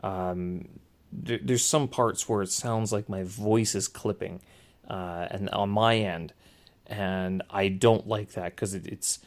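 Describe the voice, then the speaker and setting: A man with a naturally loud voice hosting a casual solo podcast, hesitant and often correcting and rephrasing himself as he goes. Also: fairly low pitch. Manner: hesitant, self-correcting, circling back to rephrase. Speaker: podcast host. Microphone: small handheld recorder or podcast microphone.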